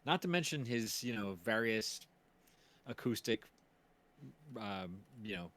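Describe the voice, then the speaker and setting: A man hosting a casual livestream chat, telling stories in a rambling, halting way. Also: on the low side, expressive, fairly bright tone. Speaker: stream host and series producer.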